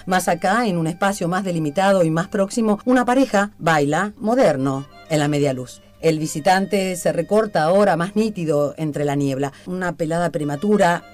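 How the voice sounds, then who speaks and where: Casting: radio host, theatrical and literary delivery, mid-range pitch, music under the voice. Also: a little mellow. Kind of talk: theatrical scripted reading and interview hosting.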